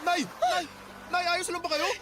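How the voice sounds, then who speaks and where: A man's voice: very high-pitched, big pitch swings, moderate pace, background noise. An actor playing a flustered husband, an anxious mama's boy, agitated and pleading in a Tagalog radio drama. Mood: alarmed.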